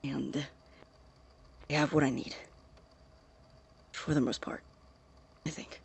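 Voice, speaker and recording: Gravelly, secretive science student. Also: male voice, on the high side, flat delivery, exaggerated voice. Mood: guarded, hesitant. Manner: hesitant, deliberate, precise wording. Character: secretive, methodical male science student logging experiments. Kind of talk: audio drama acting, spoken tape diary.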